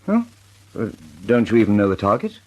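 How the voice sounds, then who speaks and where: Casting note: a fussy, worried older inventor with a low, husky voice, vintage radio play. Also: male voice, very animated, brisk, lo-fi sound. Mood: fretful. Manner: fussy, anxious. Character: older male bomb inventor, fretful, fussy. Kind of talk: 1940s radio acting.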